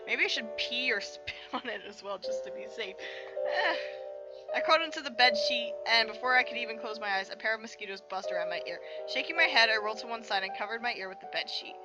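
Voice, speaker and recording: A woman's voice: a little high, quick pace, low-quality recording. A woman hosting a game preview, reading visual novel text aloud expressively and adding chatty, reactive asides. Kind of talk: narration of visual novel text with casual commentary. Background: game music.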